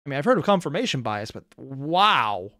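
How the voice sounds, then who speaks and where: A man's voice: mid-range voice, sing-song, normal speed, sharp tone, no room sound, studio quality. A man hosting a reaction video, giving off-the-cuff, sarcastic and exasperated commentary full of rambling asides.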